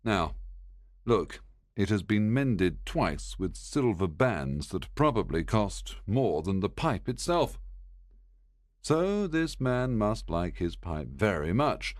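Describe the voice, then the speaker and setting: A man voicing a clever, confident male detective in a read-aloud story, explaining things in a lively way. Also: lowish voice, slow, dry recording, clean studio sound.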